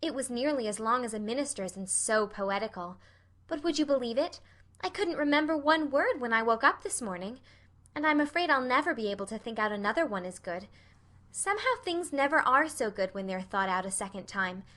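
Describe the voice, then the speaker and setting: A woman's voice: on the high side, lively intonation, fairly quick, sharp tone. A woman voicing an earnest, dreamy young orphan girl in an audiobook, effusive and imploring. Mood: apologetic, chatty. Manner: effusive, wordy, dramatic. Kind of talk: audiobook character voice.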